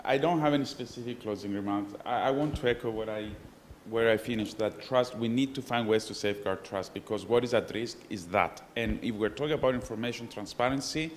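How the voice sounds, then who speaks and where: A man's voice: mid-range voice, slight background noise. Earnest Cypriot man on a conference panel. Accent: Cypriot Greek. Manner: earnest, measured, with small restarts. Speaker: panelist, professor of international relations. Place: conference hall.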